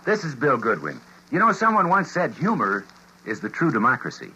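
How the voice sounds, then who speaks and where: A man's voice: medium pitch, huge pitch swings, brisk, pushed and bright, a little hiss or hum. A man narrating a folksy tall tale in a scripted radio spot, genial and storytelling. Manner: genial, folksy yarn-spinning. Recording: dull vintage radio sound.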